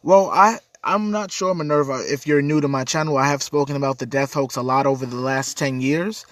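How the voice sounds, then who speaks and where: A man hosts a casual livestream with a stuffy, congested voice, since he is under the weather with a cold. He talks earnestly and rambles, repeating words as he answers viewers. His pitch sits in an ordinary middle range, and the sound is close and dry with no room echo. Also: brisk, fairly bright tone. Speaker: livestream host. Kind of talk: casual livestream chat reading viewer comments.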